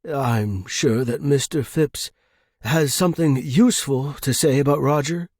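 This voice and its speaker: A man voicing a gentle, deferential male servant with a formal, placating manner in a suspense story. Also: medium pitch, a little slow, no room sound. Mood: soothing. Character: deferential, gentle, anxious male servant attending old lady.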